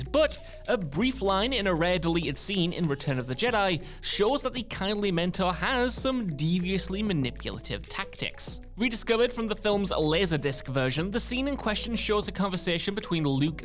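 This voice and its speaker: A man with a high-pitched voice narrates a scripted entertainment list video. His voice-over sits on a faint bed of music. The delivery is that of a prepared script read for an online countdown.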